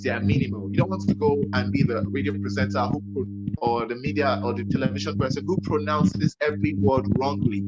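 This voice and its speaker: Earnest Nigerian man with a dull, lo-fi sound. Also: mid-range voice. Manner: earnest, emphatic, repeats himself. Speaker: radio presenter. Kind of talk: advice to a young interviewer.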